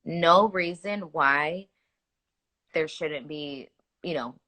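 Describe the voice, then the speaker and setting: A young adult woman, a hospital nurse, answering interview questions in an earnest, animated way, with many "like" fillers and restarts. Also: low-pitched, extremely slow.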